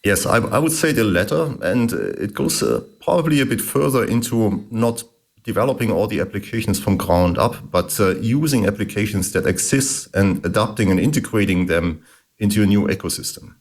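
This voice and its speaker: A middle-aged man with a German accent, answering interview questions in a thoughtful way with many pauses and fillers. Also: on the low side, animated. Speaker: guest, engineering executive.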